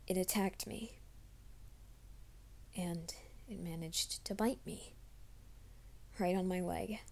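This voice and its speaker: A woman voicing a troubled young man who hides a werewolf nature, in a soft, hushed ASMR roleplay, often whispering. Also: on the low side, steady tone, slow, gravelly, exaggerated voice. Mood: anxious whisper. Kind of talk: fan audio drama, ASMR roleplay.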